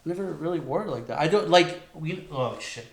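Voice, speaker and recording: A man's voice: a little high, expressive, fairly quick, gravelly, crowd noise. Casual man hosting a slangy podcast. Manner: informal, swears freely, slangy. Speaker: podcast host. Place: garage.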